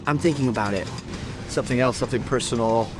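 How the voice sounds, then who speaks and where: A man acting as a reticent, sparing talker in a crime radio play. His voice sits at an ordinary middle pitch. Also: fast talker, background noise, close to an everyday voice. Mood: hesitant. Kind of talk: crime audio drama dialogue.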